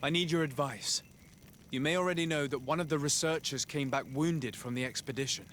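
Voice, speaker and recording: A man's voice: fairly high pitch, a little fast, fairly bright tone, a little hiss or hum, lightly acted. A man playing a courteous, composed noble envoy in video game cutscene dialogue. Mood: calm, polite.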